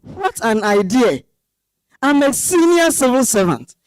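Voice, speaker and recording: A woman's voice: high voice, big pitch swings, a little slow, no room sound, clean studio sound. A woman with a Ghanaian accent playing a proud, indignant husband and senior civil servant in a stage reading, stiff and challenging.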